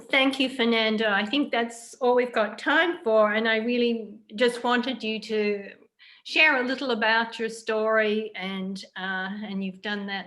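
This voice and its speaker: Medium-pitched woman interviewer. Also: a bit bright. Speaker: interviewer, writer. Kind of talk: conversational interview sign-off.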